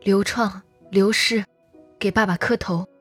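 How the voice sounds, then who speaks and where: A woman playing a gentle young mother of small children in a radio drama. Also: mid-range voice, fairly even intonation, unhurried, husky, faint music behind. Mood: subdued. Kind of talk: Chinese audio drama acting.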